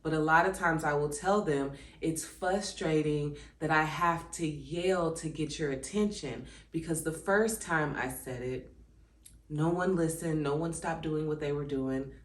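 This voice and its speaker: A woman's voice: sits low, unhurried. A woman in her thirties with four kids, talking in a casual, reflective way as she reads from a workbook and muses between passages. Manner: conversational, reflective, many "um" and "like" asides. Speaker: channel host. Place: indoors at home, seated. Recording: echoey room.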